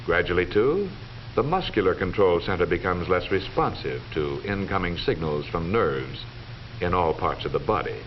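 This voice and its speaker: Male narrator, American, deep and authoritative, for vintage instructional film; muffled period recording with faint noise. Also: lively intonation. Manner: formal, measured, authoritative delivery. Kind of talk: 1940s scripted educational film narration.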